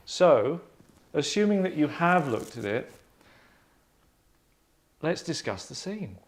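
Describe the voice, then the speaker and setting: A man in his thirties hosting a casual group discussion, talking in a hesitant, rambling, deadpan way. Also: a little high, takes it slowly. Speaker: host and narrator of a table-read show. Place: small furnished lounge, indoors.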